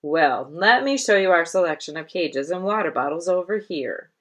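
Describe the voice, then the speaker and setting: A woman's voice: on the low side, animated, normal speed, a bit bright. Woman playing slick young male clerk, gum-chewer, salesman patter, storybook character. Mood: eager. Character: slick, gum-chewing teenage male pet-shop clerk.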